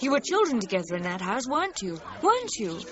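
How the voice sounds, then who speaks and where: An actress playing a shrewd, accusing British journalist in a crime radio drama, controlled and probing. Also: female voice, fairly high pitch, huge pitch swings, fast talker. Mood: cool, challenging. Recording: dull lo-fi sound with pub chatter behind.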